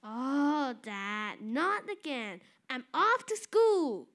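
A girl voices a cheeky, whiny schoolboy in a school radio drama, talking in sulky, loud outbursts. The voice is high and bright, and the delivery is slow and deliberate. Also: big pitch swings, heavily acted. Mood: grumbling. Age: child performer.

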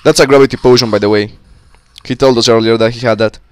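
A young man with a slightly sick voice, giving casual, excitable commentary on a co-op game with friends on a close mic. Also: mid-range voice, fast talker, no echo. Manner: excitable, swearing, joking with friends. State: sick, voice a little off. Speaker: gameplay commentator. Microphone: close-up desk mic.

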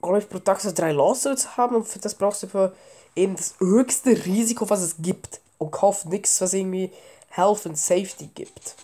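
A woman's voice: mid-range voice, normal speed, dark tone. A woman with a cold giving offhand, casual commentary while playing a game at home. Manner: offhand, mixes English game terms. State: has a cold. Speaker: let's play gamer.

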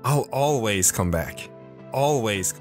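A man's voice: lowish voice, animated, slow pace, faint music behind. A man playing an earnest, wistful retired soldier who tells his life story with restraint in an audio drama. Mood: earnest, tender.

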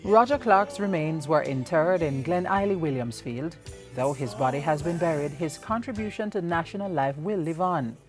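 Low-voiced woman narrating formally. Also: lively intonation. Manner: formal, solemn, measured. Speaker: news narrator, reporter. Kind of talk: scripted narration. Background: music under voice-over.

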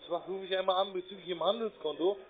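A man with a higher-pitched voice talks quickly over a tinny telephone line. He sounds like a chatty call centre agent, politely asking one question after another. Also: fairly even intonation. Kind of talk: cold sales call. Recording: tinny narrowband phone line.

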